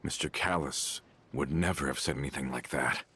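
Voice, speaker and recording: Very deep, gruff, resigned outcast. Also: male voice, moderate pace, sharp tone, full character voice. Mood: resigned, bitter. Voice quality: gruff, husky. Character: bitter, resigned man, a disgraced gang member. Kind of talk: video game voice acting.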